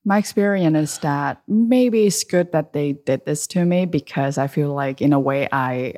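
A woman's voice: low-pitched, a little slow, mellow. A woman from southern China with a Chinese accent, chatting casually and candidly as an interview guest. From Shenzhen, southern China. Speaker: interview guest, freelance wine journalist.

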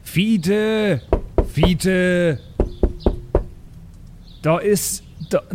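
Man as flustered, slightly high-voiced teacher. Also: very slow, background noise. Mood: puzzled. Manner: hesitant, puzzled, colloquial. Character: earnest, flustered, suspicious male schoolteacher amateur sleuth.

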